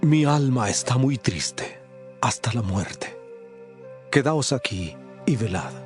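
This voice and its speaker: A grave, sorrowful teacher with quiet authority in a scripture audio drama. His medium-pitched voice is solemn and measured, every sentence declared with weight. Faint music sits behind him. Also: lively intonation.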